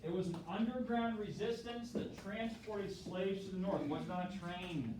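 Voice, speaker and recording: A man teaching a classroom lesson, energetic, prompting students and acting out how things worked. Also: high voice, moderate pace, distant mic. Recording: very echoey classroom from afar, noisy.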